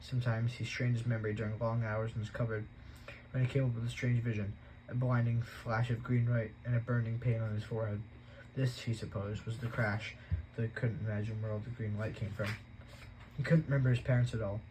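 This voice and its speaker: A man reading a novel aloud at a steady pace, with an occasional stumble he corrects. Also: medium pitch, brisk, slight background noise, rough recording. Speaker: audiobook reader.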